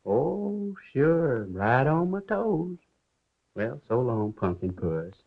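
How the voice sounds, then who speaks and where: A man's voice: medium pitch, big pitch swings, slow pace, heavily acted. A man playing a wisecracking, slangy newspaper reporter in a breezy, clipped 1930s film style. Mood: teasing. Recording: dull, lo-fi 1930s film soundtrack.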